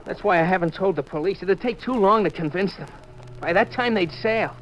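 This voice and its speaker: Young sailor, about nineteen: high, jumpy, desperate, 1950s radio acting, faint music beneath. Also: male voice, fairly quick, fairly bright tone. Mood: uneasy, secretive. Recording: muffled old radio recording, faint music.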